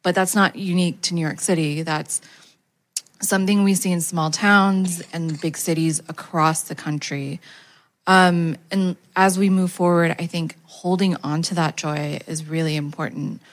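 A woman's voice: fairly low pitch, fairly even intonation, a little slow. A woman speaking on a panel in a conversational way, with frequent hesitations. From United States. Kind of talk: panel discussion remarks.

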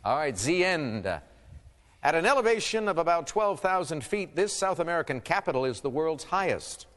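A man's voice: on the high side, big pitch swings, normal speed, a bit bright, big-room sound. A man hosting a quiz show, reading clues and teasing contestants with playful asides. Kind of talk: game show hosting with banter.

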